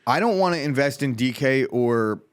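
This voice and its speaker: A man co-hosting a casual sports podcast, talking in quick, opinionated bursts with frequent restarts. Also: mid-range voice, expressive, smooth. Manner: fast opinionated talk, many restarts. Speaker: co-host.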